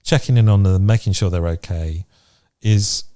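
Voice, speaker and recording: Mellow, low-voiced man chatting on a podcast. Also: moderate pace, no room sound, studio quality. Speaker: podcast host. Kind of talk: casual podcast chat. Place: remote video chat recording.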